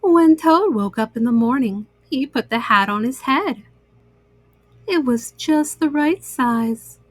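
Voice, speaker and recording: A woman storyteller narrating a children's book with simple, deliberate phrasing. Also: sits high, lively intonation, dry recording.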